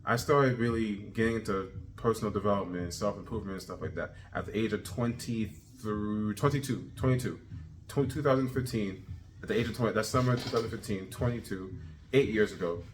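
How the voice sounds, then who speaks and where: A thirty-year-old man talking casually in a self-improvement video journal, energetic and repeating himself as he pushes his point. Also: fairly low pitch, brisk, over music, poor audio. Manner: energetic, repetitive, self-motivating. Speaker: vlogger.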